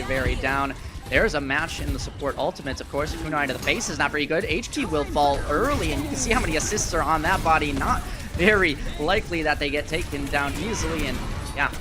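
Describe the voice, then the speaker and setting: High-voiced man casting esports over music. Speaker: esports commentator. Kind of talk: live play-by-play game commentary.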